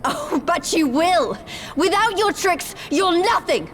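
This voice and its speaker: An actress playing a fierce, righteous young freedom fighter in a game cutscene, blunt and impassioned. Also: female voice, extremely high pitch, sing-song, slow pace, fairly bright tone, slight background noise, exaggerated voice. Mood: angry, accusing. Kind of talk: dramatic game cutscene acting.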